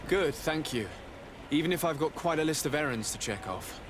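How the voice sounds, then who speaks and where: Man playing a courteous, higher-pitched diplomat. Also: lively intonation, normal speed, background noise, natural voice. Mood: polite. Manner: polite, formal. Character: courteous, composed young diplomat nobleman.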